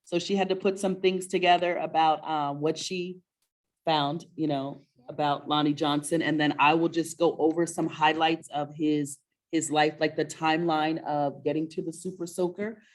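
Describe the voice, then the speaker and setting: A middle-aged woman with a low-pitched voice hosts a story time for children. She speaks in a casual, conversational way. She is encouraging and often puts questions to her young co-host. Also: steady tone, moderate pace.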